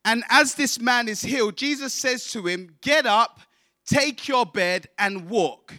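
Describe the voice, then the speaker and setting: Casting: male guest preacher from England, high forceful voice, declamatory sermon delivery, prompts the congregation to echo him. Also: a little slow. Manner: declamatory, calls on congregation to repeat. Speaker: visiting preacher.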